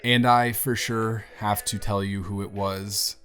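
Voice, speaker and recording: A man playing a bruised, self-deprecating teenage boy confiding in his best friend in an intimate audio roleplay, talking in a hesitant, gently wry, conversational way. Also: lowish voice, flat delivery, a little slow. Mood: hesitant, evasive. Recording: music behind the voice. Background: soft background music.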